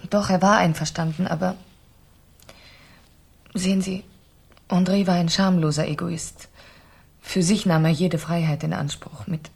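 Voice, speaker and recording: Proud, lowish-voiced suspected wife. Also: female voice, creaky, a little mellow, breathy edge. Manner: formal, dramatic, defensive. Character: defensive, proud married woman suspected of murder. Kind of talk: 1970s German radio drama acting. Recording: 1970s radio play recording.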